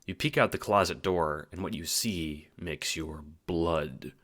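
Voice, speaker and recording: A man reading second-person audio drama narration in an animated storytelling manner, with casual swearing. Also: low voice, measured pace.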